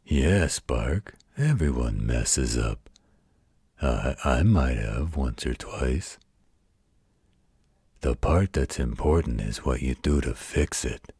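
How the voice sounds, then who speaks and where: Man voicing a very deep, husky, gentle werewolf. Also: expressive, no room sound, studio quality, heavily acted. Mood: proud, reassuring. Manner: folksy, slow, patient drawl with colloquial turns. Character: gruff, gentle protective werewolf telling a bedtime story. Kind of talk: bedtime story roleplay audio.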